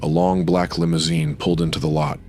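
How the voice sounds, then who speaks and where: An extremely deep male narrator for a noir radio drama. He reads scripted prose with brooding, dramatic weight over faint music. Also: little pitch movement, brisk, darkish tone.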